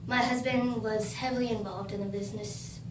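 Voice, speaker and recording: A woman reciting a historical role-play in a halting way, with many fillers. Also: fairly high pitch, fairly even intonation. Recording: echoey room, far from the mic, noisy.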